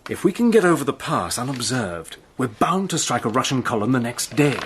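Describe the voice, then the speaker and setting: A man playing a brisk, commanding British officer in a radio drama, clipped and decisive. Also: medium pitch, sing-song, a little hiss or hum, lightly acted.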